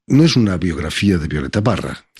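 Male radio host, low and husky, steady questioning with small stammers. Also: fast talker. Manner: questioning, occasional stammered restarts. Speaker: radio interviewer. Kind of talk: radio interview.

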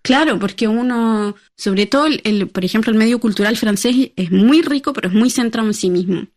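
A woman's voice: on the high side, fairly quick, darkish tone. A woman with a Chilean accent, speaking as a theatre-maker guest on a radio interview, conversational and hesitant, with fillers and restarts. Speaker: playwright and theatre director, guest.

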